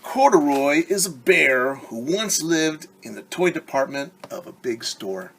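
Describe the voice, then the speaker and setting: A man teacher reading a picture book aloud to children, animated and stopping to explain words and ask questions. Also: fairly high pitch, a little slow, creaky, slight background noise. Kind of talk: children's story read-aloud.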